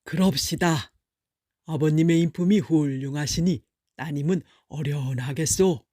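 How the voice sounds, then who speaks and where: A stern, dignified old father-in-law from a folktale , acted out by a woman storyteller. The voice drops lower and speaks in weighty, old-fashioned pronouncements. The recording is close and dry. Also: a little slow, dark tone, no echo. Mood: approving, dignified. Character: stern, dignified elderly father-in-law nobleman, gruff scolder.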